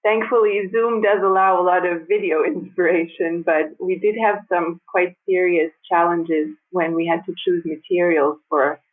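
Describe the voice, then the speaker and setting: A woman in her fifties who grew up in the United States, speaking as a guest in a conversational interview, measured and thoughtful with light laughs. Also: fairly low pitch, fairly even intonation. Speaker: guest designer and architect. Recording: tinny phone-like remote line.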